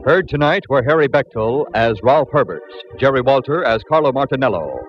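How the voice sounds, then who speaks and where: A man's voice: mid-range voice, lively intonation, brisk. A male radio announcer reading the closing credits of a 1940s radio drama in a polished delivery. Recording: dull old radio broadcast with music.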